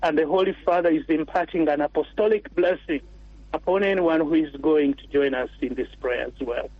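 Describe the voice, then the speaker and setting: A man from Uganda, a priest, speaking earnestly and warmly as a radio interview guest, pausing and restarting often. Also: fairly high pitch, no echo. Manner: earnest, warm and heartfelt, with pauses and restarts. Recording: dull, dry radio studio sound.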